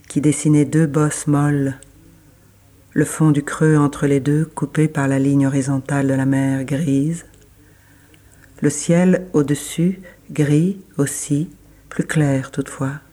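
Slow, soft-toned man reading literary prose. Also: on the high side. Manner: measured, deliberate phrasing with pauses. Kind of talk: scripted literary narration.

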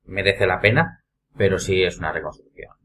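A man's voice: low-pitched, moderate pace, fairly bright tone. A man with a Castilian Spanish accent joining a casual podcast discussion. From Spain. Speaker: podcast panelist.

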